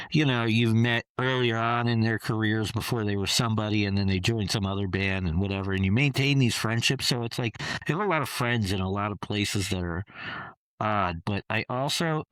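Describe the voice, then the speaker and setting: A man answering interview questions in a relaxed, rambling way, with many fillers and restarts. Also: on the low side, smooth, dry recording, rough recording. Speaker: interview guest, costumed performer. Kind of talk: casual interview conversation.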